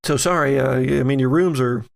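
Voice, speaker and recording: A man's voice: mid-range voice, moderate pace, gravelly, natural voice. A man voicing an awkward, nervous female doppelganger in a tabletop game, hesitant and stammering. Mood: evasive, nervous. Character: awkward nervous female doppelganger made of slosh, theater keeper.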